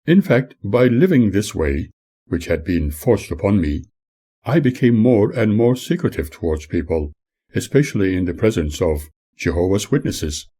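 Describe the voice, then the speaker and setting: An older man reading his own memoir aloud in a measured, earnest way. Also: on the low side, mellow, breathy edge.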